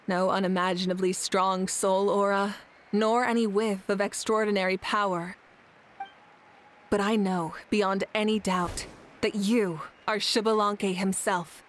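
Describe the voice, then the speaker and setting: An actress plays a commanding female leader in a video game. She sounds composed and gracious, speaking formally at a middle pitch, with faint ambient noise behind her. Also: fairly even intonation, slow, close to an everyday voice. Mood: quietly certain.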